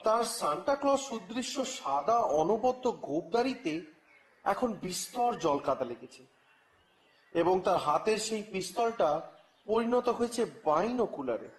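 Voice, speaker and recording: A man narrating a story in the first person, with expressive, theatrical phrasing and a scripted dramatic style. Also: high voice, a little slow, rough recording. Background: music.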